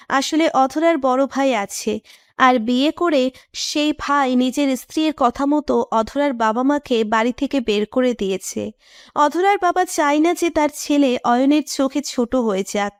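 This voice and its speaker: High-pitched woman, scripted romance narration, dry close recording. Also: no echo. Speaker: story narrator.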